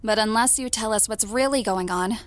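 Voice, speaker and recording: A woman playing a composed, authoritative knight captain who speaks calmly and firmly in a fantasy audio drama. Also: a little high, brisk, close to an everyday voice. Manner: firm, calm, commanding.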